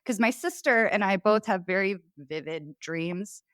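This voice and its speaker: A woman's voice: mid-range voice, expressive, unhurried, a bit bright, no room sound, studio quality. A woman raised in Chicago, with a slight Chicago accent, talking casually as a podcast guest, chatty and laughing often. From born in Poland, raised in Chicago. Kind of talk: casual conversation.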